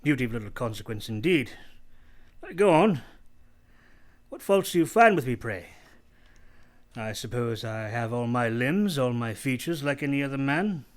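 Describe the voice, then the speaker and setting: An actor playing a brusque, teasing, moody gentleman master of the house in a radio play, imperious and halting. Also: mid-range voice, lively intonation, natural voice. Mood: challenging. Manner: imperious, abrupt, probing, halting delivery.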